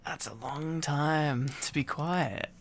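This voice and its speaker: Slow-talking needy boyfriend, playful young man. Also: fairly high pitch, slight background noise. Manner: playful, clingy, halting and repetitive. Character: needy, teasing, silly boyfriend. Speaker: ASMR roleplay performer. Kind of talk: boyfriend ASMR roleplay, playful rambling.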